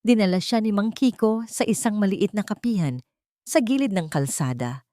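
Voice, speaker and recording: A woman's voice: mid-range voice, expressive, normal speed, warm tone, dry recording, clean studio sound. A woman reading a dramatic scripted story as an audiobook narrator.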